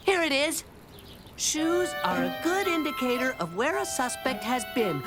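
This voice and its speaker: A self-important cartoon little boy, an earnest amateur sleuth. The voice is high and animated but deliberate and unhurried, with loud music behind it. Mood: confident, scheming. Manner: pompous, deliberate. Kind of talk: animated children's cartoon dialogue.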